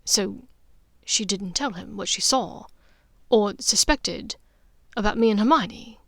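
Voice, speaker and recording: A proud, bitter young wizard, acted out by a woman narrator, blunt and sarcastic. He talks in halting, emphatic bursts, close and dry as in an audiobook. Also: medium pitch, creaky, dry recording. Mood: anxious, relieved.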